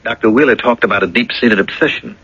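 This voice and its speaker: An actor playing a polite, uneasy middle-aged husband in a radio drama, hesitant and reassuring. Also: male voice, mid-range voice, fast, bright, forceful tone, breathy edge, dry recording. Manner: polite, hesitant, repeats himself. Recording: dull, lo-fi old radio recording.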